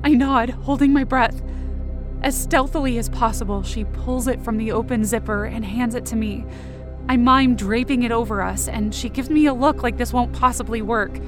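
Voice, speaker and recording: A woman playing a frightened young girl who narrates an audio fiction horror story in a tense, breathy, emotional way. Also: fairly high pitch, moderate pace, music in the background, lightly acted. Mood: tense, hushed. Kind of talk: first-person dramatic audio fiction narration.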